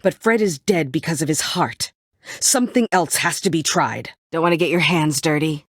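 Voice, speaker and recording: A woman's voice: low-pitched, animated, normal speed, no echo, studio quality. An actress playing a bitter, world-weary woman survivor set on vengeance in a story video game, brooding, curt and dryly sardonic. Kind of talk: dramatic video game voice acting.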